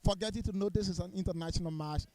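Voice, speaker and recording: A man's voice: high voice, racing, a little mellow, no room sound. A man giving live sports commentary as a football co-commentator. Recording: faint background noise.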